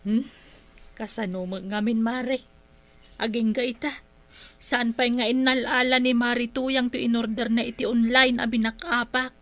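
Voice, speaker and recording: A woman's voice: a little high, little pitch movement, normal speed, fairly bright tone. A woman playing a curt, demanding village moneylender in a comedic drama, with an Ilocano accent. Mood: grumbling. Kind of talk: comedic radio-style drama acting.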